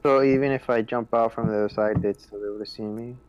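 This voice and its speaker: A man's voice: lowish voice, fairly even intonation, quick pace, clear, resonant tone, muffled recording. A man chatting casually as a player in a tabletop roleplaying game, thinking out his moves aloud.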